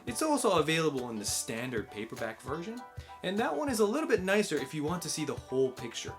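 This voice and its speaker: A man with an American accent explaining and recommending in a clear, instructive way, using simple wording, as host of a children's learning channel. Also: on the high side, sing-song, fast talker, soft background music. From United States. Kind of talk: explanatory book recommendation and picture-book read-aloud.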